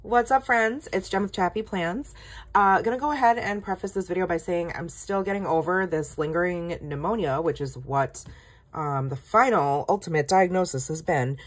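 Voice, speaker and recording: A woman's voice: on the low side, moderate pace. A woman in her thirties with a slightly scratchy voice, chatting casually while she plans a notebook spread at her desk in a home craft room. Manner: chatty, self-deprecating, rambling. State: scratchy voice from illness. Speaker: planner vlogger. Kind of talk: casual chat while planning. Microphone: overhead camera, hands only in frame.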